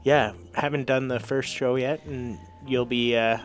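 A man's voice: medium pitch, steady tone, unhurried, faint music behind. A man, a guest on a community radio show, answering in short, hesitant bursts full of fillers. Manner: hesitant, soft-spoken, brief answers with fillers. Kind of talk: casual radio interview. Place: local community radio studio.

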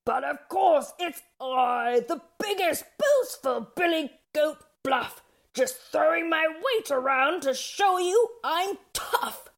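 A woman voicing a big, bluffing male billy goat in a fairy tale, pompous and booming with a hoarse edge. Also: animated, slow, exaggerated voice. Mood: boastful. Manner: pompous, theatrical.

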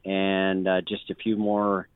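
A man in an interview, talking about the event he organizes in a halting way, stopping often with fillers and restarts. His voice is fairly low and comes through a thin, tinny phone line, dry and without room sound. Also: monotone, clear, resonant tone, dry recording.

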